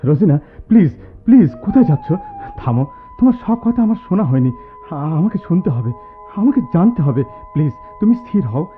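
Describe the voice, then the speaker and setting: A distraught young man in a radio play, pleading with big swings of pitch. His delivery is theatrical and stammering. The recording is thin and phone-like, with music behind. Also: sing-song, brisk. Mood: shocked, urgent. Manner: dramatic, pleading, stammering repetitions. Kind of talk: Bengali radio drama acting, theatrical.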